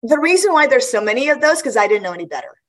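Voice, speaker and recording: A woman's voice: high-pitched, sing-song, very fast, a bit bright. A woman in her fifties from Guam, chatting casually as an interview guest, laughing often and restarting her sentences. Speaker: guest athlete.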